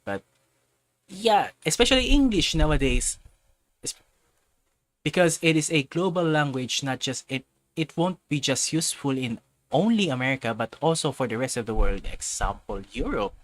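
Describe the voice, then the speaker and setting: A man's voice: fairly high pitch, expressive, moderate pace. A man from the Philippines hosting a casual livestream, rambling, correcting himself and circling back to his point. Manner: frequent restarts and self-corrections. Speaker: livestream host. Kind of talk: casual livestream chat, answering viewers.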